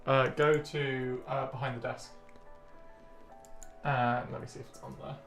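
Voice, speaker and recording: A man's voice: medium pitch, little pitch movement, a little slow, gravelly, fairly bright tone, soft background music. A man co-hosting a casual radio chat show in a studio, teasing the others and cutting in. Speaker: radio co-host.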